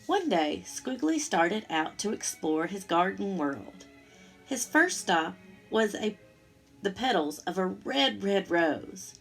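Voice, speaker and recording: A woman reading a picture book aloud to young children, with expressive, simple asides as she goes. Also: mid-range voice, slow, creaky, faint music behind. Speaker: storytime reader for young children.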